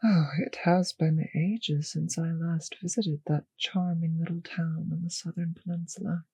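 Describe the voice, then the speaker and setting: A woman acts out a refined female vampire for an intimate audio drama, possessive and predatory. Her voice is husky and close, recorded very dry, with an unhurried pace. She teases with condescending endearments and slips into whispers. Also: fairly low pitch, steady tone, warm tone, no echo, full character voice. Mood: breezy, charming. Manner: slow, condescending, teasing endearments, drifting into whispers.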